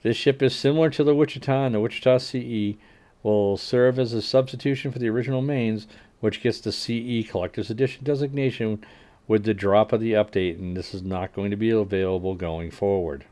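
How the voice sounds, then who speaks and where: A man hosting a livestream, casually reading game update notes aloud and adding wry asides that trail off quietly. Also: lowish voice, fairly even intonation, fairly quick. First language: English. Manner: frequent fillers and restarts while working out numbers. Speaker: livestream host.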